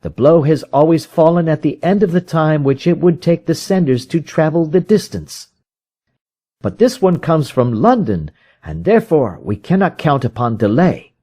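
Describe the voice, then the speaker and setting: A man's voice: on the high side, lively intonation, normal speed, mellow, breathy edge. A man reading a storybook detective who is keen, confident and methodical, reasoning aloud with emphatic, probing questions. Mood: urgent, emphatic.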